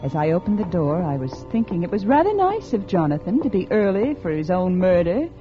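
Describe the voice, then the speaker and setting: A woman playing a scheming, calculating unfaithful wife, acting in a melodramatic 1950s radio suspense style with dramatic pauses. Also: lowish voice, sing-song. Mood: cold, confiding. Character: scheming, calculating unfaithful wife plotting her husband's murder. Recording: dull vintage radio recording with music.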